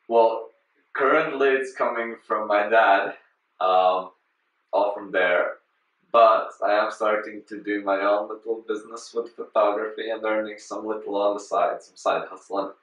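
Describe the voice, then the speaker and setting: A young man from Latvia, a student, answering interview questions in a relaxed, matter-of-fact way. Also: on the low side, unhurried. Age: young adult. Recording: roomy, distant, dull-sounding.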